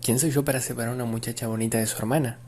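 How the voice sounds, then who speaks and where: A man playing a suave young gentleman in his twenties, gently teasing and courteous. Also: medium pitch, steady tone, rapid-fire, natural voice. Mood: courteous, amused.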